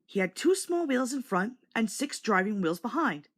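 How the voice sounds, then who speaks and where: Animated woman narrating a children's storybook. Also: fairly high pitch, normal speed. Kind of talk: scripted children's read-along narration.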